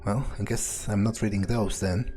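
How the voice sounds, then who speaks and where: A man playing a sardonic, pragmatic vampire, muttering his thoughts aloud in a hesitant, deadpan way as in-character game commentary. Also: fairly low pitch, moderate pace, faint music behind, lightly acted. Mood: musing, muttering. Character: sardonic, pragmatic male vampire sneaking around. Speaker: game player roleplaying a character.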